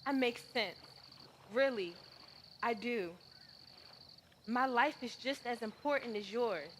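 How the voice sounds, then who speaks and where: A woman acting out a hurt but defiant woman who feels unseen, in a stage-style monologue. Her voice sits a little high and moves very slowly, with deliberate emphasis and pleading pauses. Faint outdoor noise sits behind her. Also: expressive, a bit bright. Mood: hurt, insistent. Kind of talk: dramatic spoken monologue performance.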